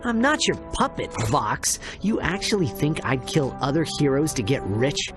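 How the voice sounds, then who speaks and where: A man's voice: fairly high pitch, very animated, moderate pace. A man voicing a brave young alien hero in a video game, casual, blunt and sarcastic. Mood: defiant. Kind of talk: video game cutscene acting. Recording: loud music behind the voice.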